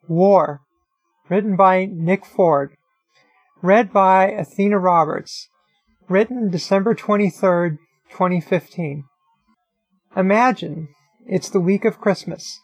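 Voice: medium pitch, slow pace, dry recording, soft background music, poor audio. A narrator reading a written opinion article aloud in a scripted style. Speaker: reader of an online article.

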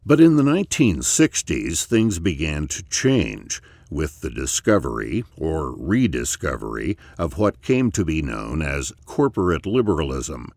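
Very deep, creaky-voiced male narrator. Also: very animated, darkish tone, dry recording. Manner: measured, deliberate phrasing with emphatic stresses. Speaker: narrator reading an essay. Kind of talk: scripted narration of a political essay.

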